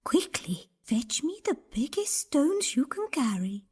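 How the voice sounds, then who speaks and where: A woman voicing a gentle but firm mother nanny goat in a children's cartoon story, hushed and measured. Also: high voice, big pitch swings, heavily acted. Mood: hushed, urgent.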